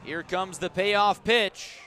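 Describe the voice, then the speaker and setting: A man doing live radio play-by-play of a baseball game, with a measured call that rises sharply on big plays. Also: sits high, pushed and bright, soft background music.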